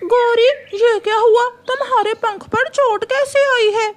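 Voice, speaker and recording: A woman voicing a small, helpful, excitable male parrot with a squeaky cartoon voice. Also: measured pace. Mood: alarmed concern.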